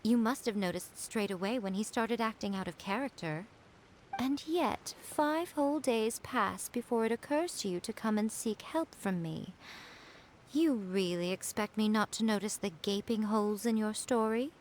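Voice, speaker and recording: Imperious game priestess acted by a woman, mid-pitched, measured, condescending, teasing menace, some background noise. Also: natural voice. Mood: cool menace. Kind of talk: video game dialogue.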